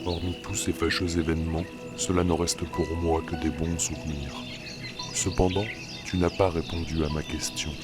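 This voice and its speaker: A man playing a calm, loyal druid guardian in a fantasy audio drama, speaking in a measured, courteous way. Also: sits low, lightly acted. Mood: earnest. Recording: loud music behind the voice.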